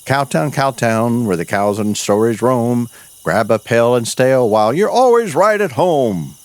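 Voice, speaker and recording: A man's voice: mid-range voice, animated, measured pace, a little mellow, no room sound. A man hosting and narrating a cowboy audio show in a folksy, homespun way.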